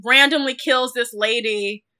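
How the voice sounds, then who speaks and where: Woman, film podcast co-host, bright forceful voice, casual discussion, sardonic and opinionated. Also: on the high side, fairly even intonation, measured pace.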